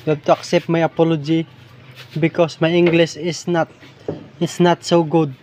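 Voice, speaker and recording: Filipino-accented man, Hiligaynon first language, high voice, halting repeated phrasing, faint outdoor traffic noise. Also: level tone, darkish tone. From Philippines. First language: Ilonggo (Hiligaynon). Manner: halting, repeats words, searches for English. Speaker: vlogger filming larvae in a field. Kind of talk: rambling improvised narration over nature footage.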